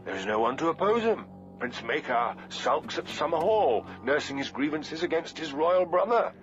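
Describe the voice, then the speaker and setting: A man's voice: on the high side, very animated, moderate pace, voice pushed up. A man voicing a worldly, gossiping septon who drinks, grave and scornful, in an emphatic, archaic audiobook reading. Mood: scornful, conspiratorial. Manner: theatrical, emphatic, archaic phrasing. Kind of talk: dramatic audiobook character reading. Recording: dull lo-fi audio with music behind.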